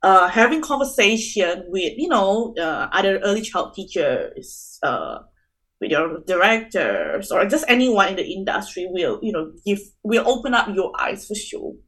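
A woman's voice: medium pitch, big pitch swings, measured pace, a bit bright, slightly rough. Earnest young woman from Indonesia. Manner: earnest, many fillers like "you know". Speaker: early childhood teacher, guest. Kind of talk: casual interview chat.

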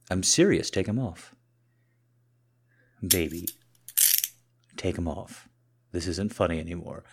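A man acts out a boyfriend in an intimate audio roleplay, talking straight to his lover. His voice is low and husky, and he takes his words very slowly. The delivery is halting and conversational, as in a private exchange between partners. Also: full character voice. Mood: flustered, irritated, pleading. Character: cocky boyfriend, flustered and pleading, turning softly submissive. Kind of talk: intimate solo audio roleplay addressed to the listener.

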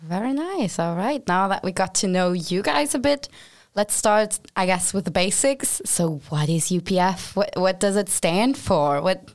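A woman's voice: medium pitch, lively intonation, measured pace, few pauses. A woman hosting a podcast, talking casually as she interviews her guests. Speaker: podcast host.

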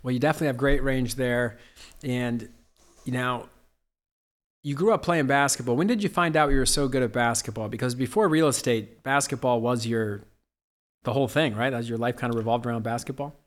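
A man's voice: mid-range voice, a little fast. Eager man hosting a casual podcast. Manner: eager, admiring, loose with fillers. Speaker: podcast host. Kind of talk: casual interview chat.

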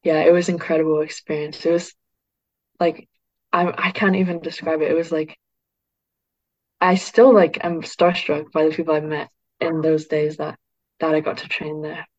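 A woman's voice: sits low, moderate pace, pauses often, no echo, dull audio. Chatty teenage girl from Northern England. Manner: chatty, peppered with "like", excited. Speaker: youth football player. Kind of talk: casual interview chat.

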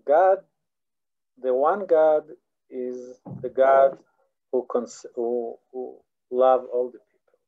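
Earnest Israeli man, halting English. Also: medium pitch, fairly even intonation. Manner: earnest, halting, repeats words while searching for English. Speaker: guest scholar. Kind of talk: philosophical interview answers. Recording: dull lo-fi audio.

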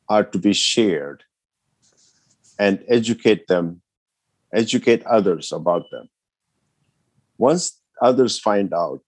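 A man's voice: fairly low pitch, darkish tone, husky, no echo. A man from Ethiopia, speaking as a guest in a podcast interview. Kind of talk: interview answers.

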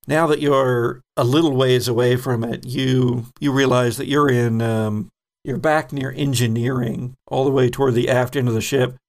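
Male game master, creaky mid-pitched voice, descriptive improvised horror narration at the table. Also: steady tone, moderate pace.

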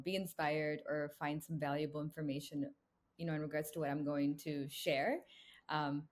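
A young woman from the United States with a low voice, speaking as a guest in a relaxed interview. She talks earnestly and at length, leaning on "you know" as a filler. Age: young adult. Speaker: guest, brand co-founder. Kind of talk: casual interview chat.

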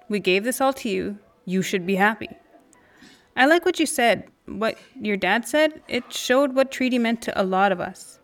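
A woman's voice: medium pitch, natural voice. A woman acting as an earnest, indignant friend talking emphatically over coffee in a naturalistic audio drama.

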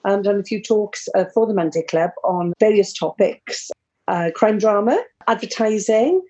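An older woman with a South Wales accent chats as a guest on a community podcast. Her voice is warm and mellow, and she talks in a lively, forthcoming way. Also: medium pitch, moderate pace, dull audio. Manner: chatty, lively, says she is loud. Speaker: retired teacher, guest.

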